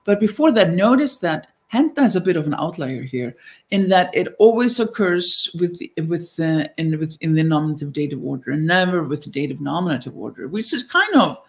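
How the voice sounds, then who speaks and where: Icelandic woman giving a hesitant academic talk. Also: on the low side. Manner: hesitant, many fillers and restarts. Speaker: linguistics lecturer. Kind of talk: academic conference talk over slides. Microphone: webcam on video call.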